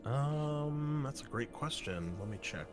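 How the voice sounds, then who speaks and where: A man's voice: mid-range voice, expressive, a little slow, music in the background. A man running an online tabletop game as game master, rambling with many fillers and self-corrections.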